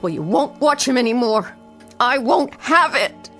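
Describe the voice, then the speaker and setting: A woman's voice: high voice, animated, unhurried, music in the background. An actress playing a determined, frightened fiancée in a radio drama, intense and dramatic, drawing out her phrases. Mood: defiant, confrontational. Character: determined, frightened long-waiting fiancée, pleading then defiant.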